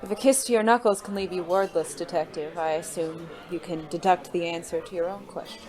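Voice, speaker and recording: Woman as a suave, dangerous, flirtatious gentleman. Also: fairly low pitch, steady tone, brisk, a crowd in the background, natural voice. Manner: arch, refined, teasing. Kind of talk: noir audio drama acting. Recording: faint music behind the voice.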